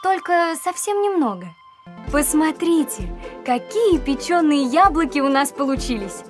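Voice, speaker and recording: A woman's voice: high-pitched, expressive, a little slow. A woman narrating a simple recipe segment for children in a friendly, sing-song way. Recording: voice-over with music.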